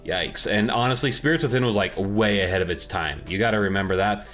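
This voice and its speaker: A man's voice: on the low side, fast talker, fairly bright tone, soft background music. A man co-hosting a podcast, talking informally and with strong opinions about movies and games in casual chat. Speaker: podcast co-host.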